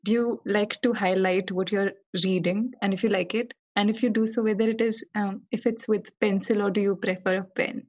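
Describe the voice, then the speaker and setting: A woman's voice: mid-range voice, steady tone, moderate pace, hardly any pauses, a little mellow. Polite hesitant woman interviewer. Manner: courteous, slightly hesitant. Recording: dull lo-fi audio.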